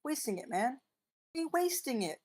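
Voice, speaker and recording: A woman's voice: high voice, very animated, normal speed, darkish tone. A woman playing a blunt, wary survivor who wants to flee, in an emphatic, colloquial screenplay table read. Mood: exasperated pleading. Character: blunt, wary armed partner, pleading to flee.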